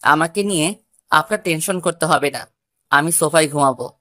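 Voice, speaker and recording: A woman's voice: low-pitched, normal speed, fairly bright tone, no echo. A woman narrating a scripted first-person love story.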